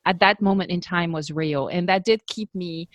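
A woman's voice: on the low side, moderate pace, no echo. A woman in her forties from France with a French accent, a podcast guest talking earnestly and poking fun at herself, with plenty of ums and you knows. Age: 40s. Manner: earnest, self-deprecating, fillers like um and you know. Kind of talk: casual interview chat.

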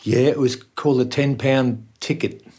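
A man's voice: medium pitch, fairly even intonation, normal speed, darkish tone, slightly rough. An older man from Austria who speaks with an Australian accent, telling stories as a guest in a casual interview and laughing often. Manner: chatty storyteller, laughs often. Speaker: guest musician.

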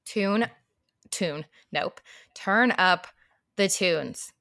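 A woman in her thirties co-hosting a podcast in casual chat, a little hoarse, laughing often as she talks. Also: medium pitch, extremely slow, dry recording, clean studio sound. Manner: laughs often, animated and chatty. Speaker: podcast co-host.

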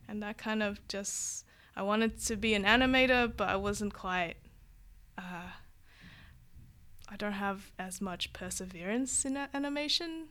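A woman's voice: mid-range voice, fairly bright tone. A woman from Vietnam, a guest illustrator, chatting casually in an interview. She rambles and corrects herself, with many "like" and "um".

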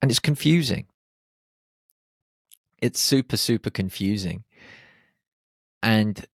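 A man hosting his own podcast, talking alone in a lively, animated way with plenty of exclamations. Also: lowish voice, gravelly, dry recording, clean studio sound. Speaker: podcast host. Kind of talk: solo podcast monologue. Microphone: podcast microphone.

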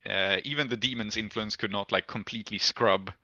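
Bright, forceful man hosting a game podcast. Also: lowish voice, steady tone, a little fast, no echo, highs cut off. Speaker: podcast host and game master. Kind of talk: casual recap of a tabletop roleplaying game.

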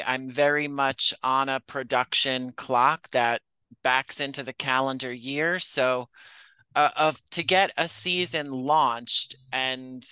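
Male interview guest, writer-producer type. Clean, bright voice, close dry sound, conversational delivery. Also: medium pitch, clear, resonant tone, no room sound, rough recording.

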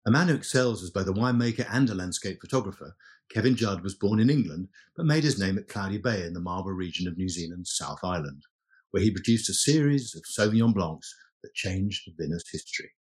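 A man hosting a podcast, introducing and interviewing his guest in a brisk, chatty manner. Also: deep voice, expressive, breathy edge. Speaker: podcast host. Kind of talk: interview host chat.

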